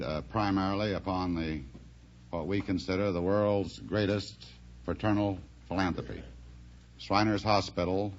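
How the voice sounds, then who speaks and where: An old, muffled radio recording with faint noise carries a very low male voice. He speaks formally, as a contestant answering a quiz host. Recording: muffled vintage radio with hiss.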